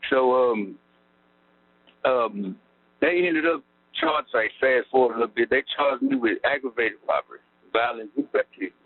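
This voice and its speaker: A man's voice: mid-range voice, normal speed. A middle-aged man telling his story on a phone call from prison, rambling with lots of fillers. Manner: rambling, lots of fillers, laughs wryly at times. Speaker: incarcerated interviewee. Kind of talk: casual storytelling about his past. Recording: tinny prison phone line with hum.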